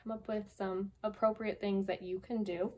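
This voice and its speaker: A woman's voice: medium pitch, flat delivery, darkish tone, slight background noise. Woman storyteller speaking simply to children. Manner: simple, deliberate phrasing for young children. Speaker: guest storyteller, school staff member. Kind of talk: children's story read-aloud and advice.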